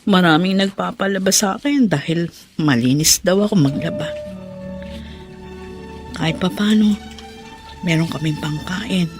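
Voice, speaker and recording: A woman's voice: lowish voice, lightly acted. A woman playing a poor, devout and worried washerwoman mother in a radio drama, speaking plaintively. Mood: grateful. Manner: plaintive, prayerful soliloquy. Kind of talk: Filipino radio drama acting.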